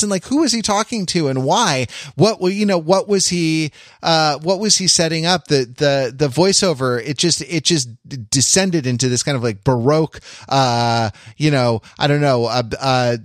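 A man co-hosting a podcast, talking through a film casually and haltingly, repeating phrases as he thinks aloud. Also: on the high side, fairly bright tone, no echo, studio quality. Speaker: podcast co-host.

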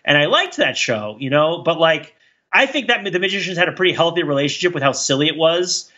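A man's voice: fairly high pitch, expressive, a little fast, bright, forceful tone, dull audio. A man co-hosting a podcast in casual chat, rambling and thinking aloud as he riffs. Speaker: podcast co-host.

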